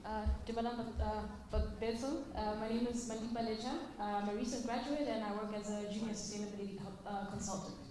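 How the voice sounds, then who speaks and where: A woman in her twenties introducing herself, with hesitant filler pauses. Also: a little high, steady tone, heavy background noise. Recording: echoey crowded hall.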